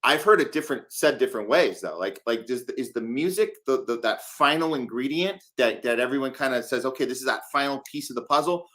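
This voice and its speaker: A man interviewing in a conversational way, haltingly repeating words as he works out his thoughts. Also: mid-range voice, fairly bright tone. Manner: halting, repeats words while working out questions.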